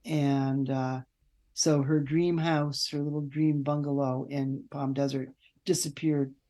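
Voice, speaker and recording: Woman, author guest, dark mellow tone, low pitch, conversational, halting delivery with pauses. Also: level tone. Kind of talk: conversational interview storytelling.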